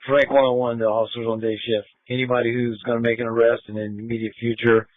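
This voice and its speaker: A man's voice: medium pitch, level tone, fast, no echo. Matter-of-fact man on tinny police radio.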